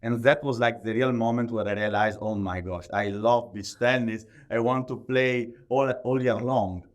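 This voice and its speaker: A man with an Italian accent answering interview questions conversationally in halting, non-native English, often leaning on the word "like". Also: mid-range voice, steady tone. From Italy. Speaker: podcast guest, professional athlete.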